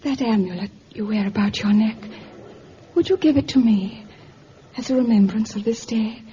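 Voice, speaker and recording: A woman's voice: on the high side, normal speed, warm tone, lightly acted. An actress playing a wistful seventeen-year-old girl, hesitant and yearning, in a vintage radio drama. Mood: pleading, wistful. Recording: dull, hissy vintage radio recording.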